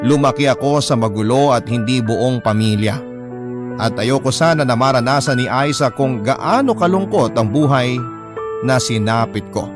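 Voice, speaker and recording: A man's voice: medium pitch, moderate pace, natural voice. Man reading as sentimental storyteller over music. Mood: regretful, sentimental. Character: remorseful young teacher narrating a confessional love letter. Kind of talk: dramatic first-person scripted narration. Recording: music bed under voice.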